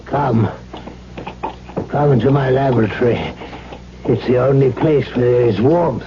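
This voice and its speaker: A man playing a wary, bitter old reclusive alchemist in a radio drama, halting and archaic in his speech. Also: medium pitch, lightly acted. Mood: wary, brusque. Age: older. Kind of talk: 1970s radio drama acting. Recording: dull, noisy 1970s radio recording.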